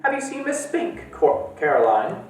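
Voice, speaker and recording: A man's voice: high-pitched, wildly swooping pitch, measured pace, pitched above the performer's own voice, full character voice. A fussy, doting old woman from a storybook, fretting in a raised voice, as a man reads her part. The voice is roomy and echoey, caught from a distance with faint music behind. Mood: fretful.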